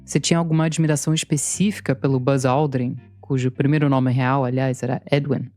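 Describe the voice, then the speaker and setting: A woman's voice: a bass voice, fairly even intonation, a little fast, mellow, no echo, faint music behind. A woman narrating a documentary podcast in a scripted, playful way, mixing her lines with interview clips. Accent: Brazilian Portuguese. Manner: dry, playful storytelling.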